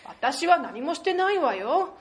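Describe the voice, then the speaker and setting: A woman voicing a coy, poised and wry café keeper in an amateur radio drama, with drawn-out, teasing feminine speech. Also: high-pitched, big pitch swings.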